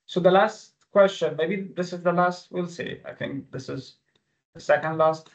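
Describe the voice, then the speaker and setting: A man whose native language is Arabic, teaching an online exam-review webinar with live quiz questions in an informal, halting way. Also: sits high, vocal fry. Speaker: exam-prep instructor. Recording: dull, lo-fi webinar audio.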